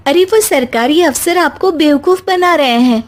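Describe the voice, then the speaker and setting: A woman's voice: high-pitched, moderate pace, pitched above the performer's own voice, lightly acted. A woman voicing an educated, outspoken young daughter-in-law in a story, with an earnest, articulate way of talking. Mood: alarmed, indignant.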